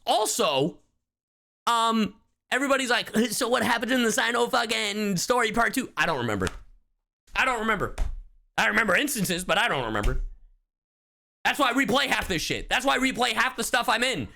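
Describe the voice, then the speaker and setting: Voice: mid-range voice, big pitch swings, fairly quick, creaky, pauses often, sharp tone. A streamer giving casual live commentary in an exasperated, slangy, profanity-heavy way. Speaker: streamer reacting to game voice lines.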